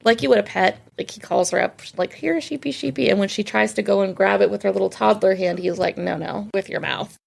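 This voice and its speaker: A woman's voice: mid-range voice, brisk, creaky, darkish tone. A woman co-hosting a podcast, going through a film in a casual, wry, informal way, part chat and part read summary. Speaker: podcast co-host.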